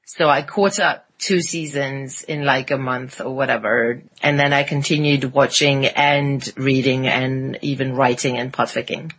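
A woman's voice: very deep voice, fairly even intonation, unhurried, hardly any pauses, a bit bright, slight background noise, poor audio. A woman on a podcast panel talking casually about fandom in a reflective, analytical way.

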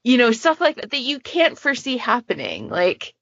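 A woman's voice: fairly high pitch, wildly swooping pitch, moderate pace, a bit bright, dry recording. A woman chatting casually and informally on a podcast, with frequent conversational asides. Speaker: podcast participant, fan event moderator. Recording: dull, lo-fi dry voice recording.

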